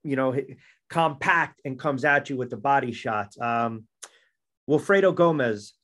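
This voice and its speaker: A man's voice: mid-range voice, unhurried, a bit bright. A man hosting a sports podcast, conversational, asking long leading questions. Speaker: podcast host. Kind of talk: sports talk interview.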